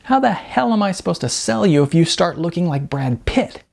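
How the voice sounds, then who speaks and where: Brash middle-aged female talent agent played by a man, wisecracking and emphatic, swooping pitch, creaky edges, audiobook dialogue. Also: animated, moderate pace, darkish tone, exaggerated voice. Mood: teasing, emphatic.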